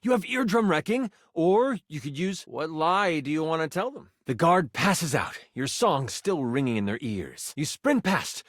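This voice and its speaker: A man's voice: a little high, big pitch swings, measured pace, few pauses, a bit bright, no room sound, clean studio sound, full character voice. A man playing an enthusiastic game master character in a video game, narrating a fantasy quest in a theatrical, dramatic way. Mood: dramatic, suspenseful. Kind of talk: animated tabletop adventure narration in a video game.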